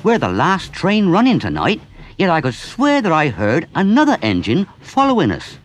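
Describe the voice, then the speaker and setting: A man's voice: fairly high pitch, huge pitch swings, normal speed. A man voicing a kindly but stern middle-aged engine driver, bluff and hearty. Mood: puzzled.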